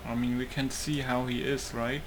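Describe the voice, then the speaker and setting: A man's voice: medium pitch, level tone, normal speed. A man giving casual let's play commentary, informally thinking aloud as he plays. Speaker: gamer recording a let's play. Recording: noisy mic over game ambience.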